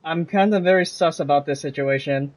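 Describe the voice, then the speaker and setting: A man's voice: fairly high pitch, brisk, a little hiss or hum. A man giving casual commentary as he streams a game.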